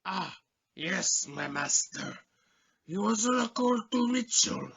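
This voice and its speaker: An actor playing a fawning, anxious assassin who serves a cult, with theatrical servile pleading and a strained raspy voice, in an amateur radio play. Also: male voice, high voice, lively intonation, takes it slowly, fairly bright tone, highs cut off, full character voice. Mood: servile, proud.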